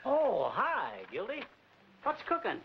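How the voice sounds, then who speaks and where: An actor playing a folksy, gullible middle-aged husband who wisecracks in a 1940s film comedy. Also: male voice, very high-pitched, wildly swooping pitch, slow pace, gravelly, exaggerated voice. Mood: chirpy, breezy. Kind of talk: 1940s radio-style film comedy acting. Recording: muffled, hissy 1940s film soundtrack.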